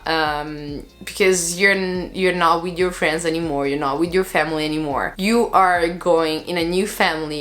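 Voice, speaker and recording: A woman's voice: fairly low pitch, fairly bright tone. A young woman from Italy with an Italian accent, talking casually to camera in earnest, loosely phrased English full of fillers. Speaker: vlogger.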